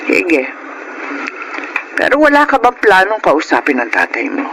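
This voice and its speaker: Middle-aged Filipino farmer mother, gentle, worried, pleading, very animated pitch, noisy ambience. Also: a little slow, heavily acted. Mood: worried, sad. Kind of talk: Filipino radio drama acting. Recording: clear background noise and ambience.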